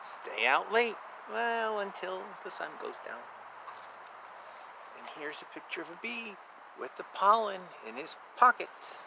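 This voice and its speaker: A man reading a children's picture book aloud and explaining it informally in simple terms, with an animated manner. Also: sits high, a little slow, creaky, background noise.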